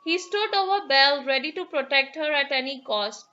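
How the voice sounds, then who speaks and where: A woman narrating a children's fairy tale as an expressive, dramatic storyteller. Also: high voice, normal speed, dull audio.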